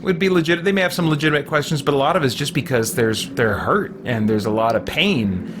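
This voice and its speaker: A man's voice: mid-range voice, animated, fairly quick, background noise. A middle-aged man giving casual let's play commentary, musing and thinking aloud as he goes. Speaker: gaming video host. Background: music.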